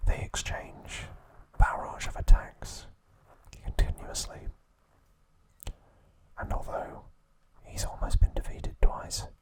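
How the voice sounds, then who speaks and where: Male ASMR host, soft unvoiced whisper, unhurried delivery, dry playful asides. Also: poor audio. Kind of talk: whispered ASMR recap narration.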